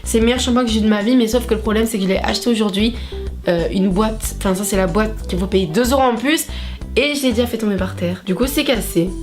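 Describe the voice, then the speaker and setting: A woman's voice: mid-range voice, fast talker. A woman casually chatting through a beauty haul, swearing often and exclaiming at each product. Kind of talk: casual unscripted haul chat. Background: background music.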